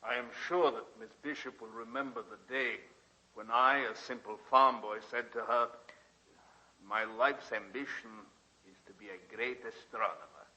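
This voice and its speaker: An actor playing a distinguished, self-mocking astronomer giving a formal after-dinner tribute in 1940s radio drama style. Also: male voice, medium pitch, fairly even intonation, moderate pace, bright, forceful tone. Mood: fond, self-mocking. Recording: noisy vintage radio recording, banquet crowd.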